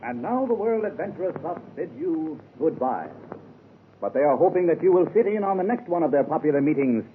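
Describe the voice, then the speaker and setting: A man's voice: on the high side, animated, brisk. A man reading a formal scripted closing announcement as a radio announcer. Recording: tinny 1930s radio recording with faint hiss.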